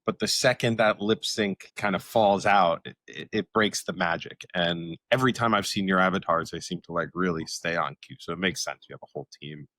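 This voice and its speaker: A man's voice: low-pitched, fairly quick, few pauses, a bit bright, no echo. A man hosting a podcast, chatting casually in loose, informal phrases peppered with filler words. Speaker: podcast host. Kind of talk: casual interview chat.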